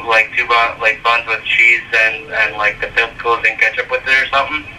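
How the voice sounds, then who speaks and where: Bright, sharp man over a phone speaker. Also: medium pitch, little pitch movement, moderate pace. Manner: polite, hesitant. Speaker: fast food restaurant worker. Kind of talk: customer service phone talk. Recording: sharp phone speaker, distant, faint noise.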